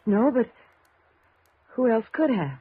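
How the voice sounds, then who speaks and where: Husky, anxious young woman in radio drama. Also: on the high side, big pitch swings, full character voice. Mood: subdued. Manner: hesitant, fretful, halting. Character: anxious, grieving young woman, wronged daughter. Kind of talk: 1950s radio drama acting. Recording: tinny, narrow vintage radio recording.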